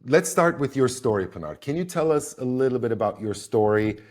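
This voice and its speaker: A man's voice: mid-range voice, animated, brisk. A man hosting an interview podcast, introducing a guest and asking questions. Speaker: podcast host.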